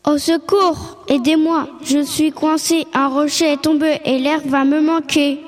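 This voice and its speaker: A woman's voice: high voice, flat delivery, measured pace, in a large hall, faint music behind. A woman voicing a sniffly panicky bear. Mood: panicked. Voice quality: sniffly, sneezing. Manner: pleading. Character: panicky trapped bear with a cold.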